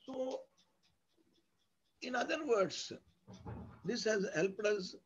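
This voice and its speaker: A man with an Indian accent and a husky voice gives an academic lecture, explaining with examples in an emphatic, didactic way and piling up synonyms and lists. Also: high-pitched, expressive, drawn-out words. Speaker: university lecturer. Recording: dull lo-fi audio.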